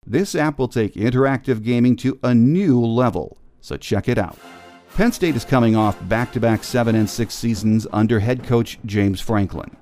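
A man's voice: medium pitch, normal speed, dark tone, faint music behind. An American man hosting a sports podcast, delivering a scripted monologue. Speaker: podcast host.

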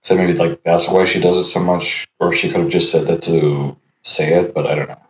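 A man in his thirties with a very deep voice, talking casually as a guest and pausing often with fillers. The sound is roomy and a bit dull, as if from a lo-fi setup. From Los Angeles. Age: 30s. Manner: relaxed, halting, many fillers. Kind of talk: casual chat.

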